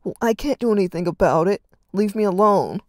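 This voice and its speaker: A storyteller voicing a stressed teenage older brother who snaps and yells harshly. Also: mid-range voice, lively intonation, a little fast, no room sound, studio quality, natural voice. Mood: curt, tense. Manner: harsh, curt, yelling.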